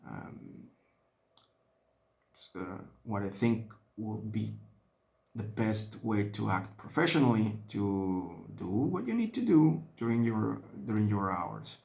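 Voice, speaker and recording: Spanish-accented man, lowish gravelly voice, unhurried and halting, casual hobby vlogger at his desk. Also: animated, a little mellow. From Mexico. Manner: halting, thinking aloud with many restarts and fillers. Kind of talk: casual talk while painting miniatures.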